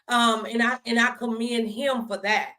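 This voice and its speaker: A woman's voice: fairly high pitch, flat delivery, normal speed, pushed and bright. An American woman hosting a faith-based talk show, giving earnest, emphatic advice in a conversational way. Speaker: talk show host, minister.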